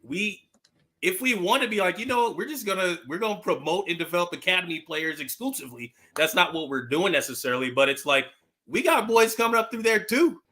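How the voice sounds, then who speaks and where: A man in a casual sports chat, talking as a fan panelist in an animated, slangy way with emphatic repetition. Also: high voice, brisk. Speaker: football fan podcast panelist.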